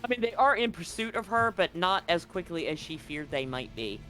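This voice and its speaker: Bright, higher-pitched male game master. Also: sing-song, a little fast. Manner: casual, profane. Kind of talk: improvised narration of a tabletop game. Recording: music behind the voice. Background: music.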